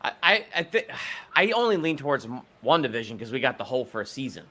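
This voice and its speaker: A man talking as a panelist in a casual live discussion, thoughtful, his voice rising when he gets excited. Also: mid-range voice, quick pace.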